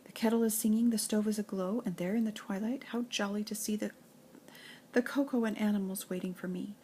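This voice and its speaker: An older woman, a grandmother, reading nursery rhymes and children's poems aloud in an expressive, playful sing-song way. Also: medium pitch, brisk, a little hiss or hum.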